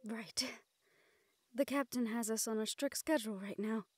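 A woman playing a gentle, playfully reproving fantasy sweetheart in a romantic audio roleplay, speaking tenderly in formal phrases. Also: on the high side, moderate pace, dry recording, lightly acted. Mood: soft, earnest. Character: gentle, playfully scolding fantasy woman courting a dragon general.

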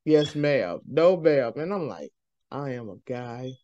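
A man's voice: mid-range voice, big pitch swings, slow, mellow, dry recording. A man with a light voice, co-hosting an interview over a video call and telling easygoing stories. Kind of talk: casual interview chat. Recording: dull lo-fi video call audio.

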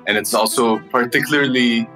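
A man's voice: mid-range voice, fairly even intonation, brisk, pushed and bright, soft background music, poor audio. A man hosting a podcast, talking earnestly and reflectively with an old friend and laughing now and then. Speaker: podcast host. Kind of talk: casual interview chat.

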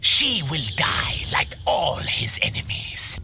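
An actor voicing a greedy, menacing demonic tax collector in a video game, with a rasping, sneering, formal delivery. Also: male voice, a little high, wildly swooping pitch, takes it slowly, whispered, over loud music, exaggerated voice. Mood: cold menace. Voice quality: gravelly, rasping.

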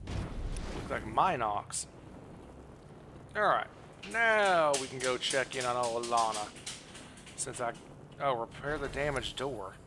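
A man's voice: medium pitch, noisy background. A man streaming a game with casual live commentary in a playful, teasing manner. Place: indoor room at a streaming setup. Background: game music.

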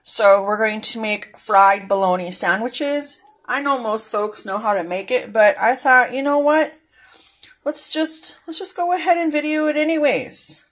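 A woman's voice: fairly high pitch, fairly even intonation, measured pace, fairly bright tone. A woman casually narrating a cooking demo in her home kitchen, chatty and homey, talking straight to her viewers. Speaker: cooking vlogger.

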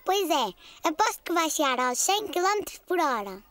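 An actor voicing a bold, excitable little boy in a children's cartoon, with a European Portuguese accent. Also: very high-pitched, moderate pace, exaggerated voice. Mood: eager. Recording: music behind the voice.

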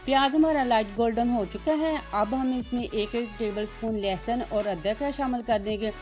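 A woman's voice: on the high side, moderate pace, darkish tone, soft background music. A woman, hosting a cooking channel and narrating each step politely in a voice-over. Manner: step-by-step, polite, religious greetings.

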